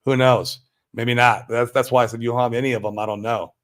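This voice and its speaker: A man's voice: medium pitch, flat delivery, brisk. An American man hosting a livestream, chatting casually and answering viewers in a slangy, exclamatory way. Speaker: livestream host. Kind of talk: casual chat, answering viewer comments live.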